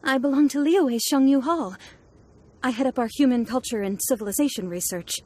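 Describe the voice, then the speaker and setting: A woman's voice: sits high, a little fast, darkish tone. A woman playing an earnest, grateful scholar in a video game, speaking in a formal way. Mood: relieved, grateful. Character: earnest, grateful scholar, proud of research post. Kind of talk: video game character acting.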